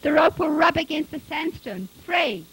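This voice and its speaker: A woman's voice: a little high, expressive, a little slow, breathy edge, full character voice, poor audio. A woman voicing an earnest, practical grown son in a story reading, urgent and cautious. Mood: worried, objecting.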